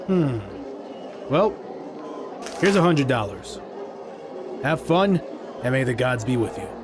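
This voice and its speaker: A man's voice: medium pitch, expressive, noisy background. A man playing a composed, courteous, confident martial artist mentor in an audio drama. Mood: calm, approving. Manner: measured, approving.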